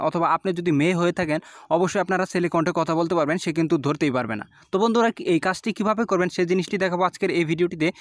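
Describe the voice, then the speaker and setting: A man's voice: sits high, no echo. A man narrating an app tutorial as host, explaining step by step in an instructional, repetitive way.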